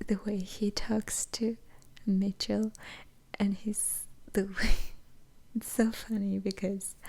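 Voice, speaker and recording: Woman, close-mic whisper, slow, breathy, mellow, giggly, rambling. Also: medium pitch. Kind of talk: whispered ASMR chat.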